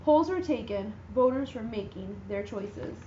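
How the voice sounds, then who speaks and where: A woman giving a dramatic reading of a children's picture book, with lively, expressive delivery and voices for the characters. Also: fairly high pitch, a little slow, echoey room, lo-fi sound, a little hiss or hum. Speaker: reader.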